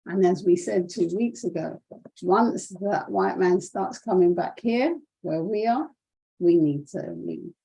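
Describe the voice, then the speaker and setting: A woman's voice: lowish voice, a little slow, vocal fry, dark tone, slightly rough, rough recording. A woman co-hosting an online talk show, speaking and murmuring agreement. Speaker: co-host. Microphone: online call.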